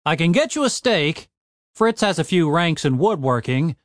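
This is a cynical, foul-mouthed boss from a storybook, acted out by a man narrator. He is blunt and sarcastic, always exasperated. His pitch swings widely, in a very dry recording. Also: big pitch swings, moderate pace, no echo. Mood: grudging, blunt.

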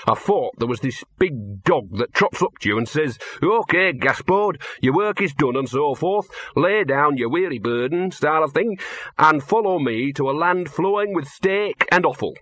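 A man doing a hoarse, wry old street dog. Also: fairly high pitch, lively intonation, moderate pace, fairly bright tone, no echo, voice pushed up. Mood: wry, weary. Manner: rough working-class grammar, wry and grumbling.

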